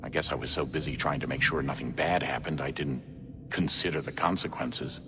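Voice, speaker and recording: An actor playing a stern middle-aged father in a game, speaking in a halting, earnest, remorseful way. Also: very deep voice, fairly even intonation, fairly bright tone, a little hiss or hum. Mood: apologetic. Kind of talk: video game cutscene acting.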